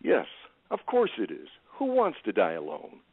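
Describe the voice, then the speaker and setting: Man acting cornered guilty man, swooping pitch. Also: huge pitch swings, normal speed, gravelly, husky, no room sound, heavily acted. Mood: defensive. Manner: agitated, incredulous, pleading. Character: cornered guilty man, fearful, pleading, defensive. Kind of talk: audio drama acting. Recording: phone-like lo-fi line.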